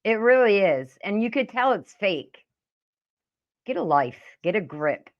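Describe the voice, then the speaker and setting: A woman's voice: medium pitch, big pitch swings, measured pace, dull audio. A middle-aged woman giving casual, profane reaction commentary in a blunt, indignant, scolding manner. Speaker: reaction video host.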